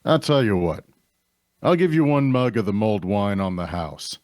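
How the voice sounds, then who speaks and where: An actor playing an easygoing, practical tavern keeper in a fantasy drama read, casual and matter-of-fact. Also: male voice, lowish voice, very animated, moderate pace, a little mellow, dry recording, studio quality.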